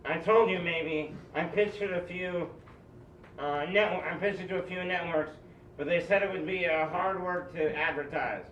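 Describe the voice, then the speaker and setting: A man playing an affable but demanding film director boss, reading haltingly at an amateur table read. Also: on the high side, a bit bright, natural voice. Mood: matter-of-fact. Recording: echoey, muffled, distant mic, faint noise.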